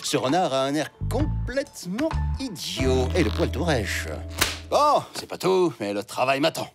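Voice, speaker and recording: A man's voice: a little high, big pitch swings, measured pace. A man voicing a pompous, theatrical professor who hunts strange animals, in a French cartoon dub. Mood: scornful, busy. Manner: self-important, theatrical. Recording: background music under the voice.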